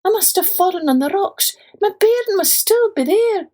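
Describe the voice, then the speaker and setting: A woman playing a young Scottish storybook mother, high-pitched, urgent, pleading, distraught. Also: lively intonation, normal speed, darkish tone. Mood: panicked, pleading. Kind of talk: children's storybook narration.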